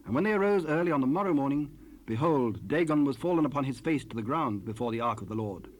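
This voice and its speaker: A man narrating scripture in archaic English for a dramatized Bible recording. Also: a little high. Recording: noisy raw cassette rip.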